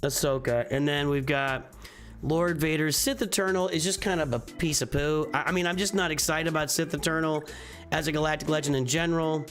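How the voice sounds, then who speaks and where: A man's voice: fairly high pitch, steady tone, fairly quick, a bit bright, soft background music. A man hosting a casual live stream, reviewing game rosters in a rambling, offhand way, often correcting himself mid-sentence.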